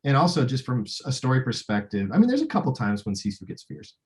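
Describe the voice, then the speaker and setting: A man speaking as a film director in a casual interview, thinking aloud in a halting way. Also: medium pitch, wildly swooping pitch, a little mellow.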